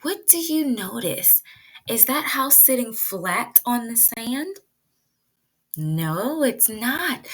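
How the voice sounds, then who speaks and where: A woman with a fairly high, highly animated voice speaks as a pre-K teacher. She takes her time, walking young listeners through a read-aloud with simple explanations and expressive questions. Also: poor audio.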